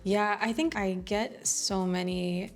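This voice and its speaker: A woman's voice: mid-range voice, steady tone, slow, faint music behind. A woman in her thirties, a guest in a casual chat at home, speaking in a thoughtful, reflective way with hedges. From United States. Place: living room at home.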